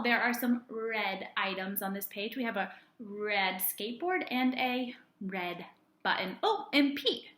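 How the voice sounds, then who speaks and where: A woman teacher reading aloud to young children in a deliberate, sing-song way, asking questions and answering them herself. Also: on the high side, bright, forceful tone, reverberant. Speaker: early-grades teacher.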